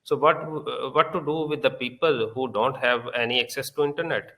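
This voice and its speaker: Halting Pakistani man giving a talk. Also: mid-range voice, steady tone, a bit bright. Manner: halting, many filler pauses. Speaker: conference speaker. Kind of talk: conference talk.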